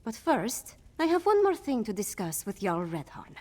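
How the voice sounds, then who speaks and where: Resolute young princess, echoing hall. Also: female voice, a little high, sing-song, normal speed. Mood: earnest, determined. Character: young, resolute Nordic princess, diplomatic and earnest. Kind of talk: fantasy video game voice acting. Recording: long hall reverb, music behind. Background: game music.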